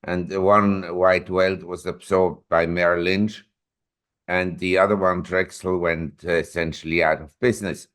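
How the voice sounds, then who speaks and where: A man's voice: low-pitched, level tone, unhurried, a bit bright, smooth. A man in his seventies, a newsletter publisher and interview guest, telling long rambling anecdotes with self-corrections. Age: 70s.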